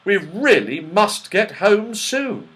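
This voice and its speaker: A man's voice: high-pitched, very animated, slow, a bit bright, voice pushed up, heavily acted. A man voicing an anxious, caring young girl in a children's storybook, fretful and pleading. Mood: anxious, relieved.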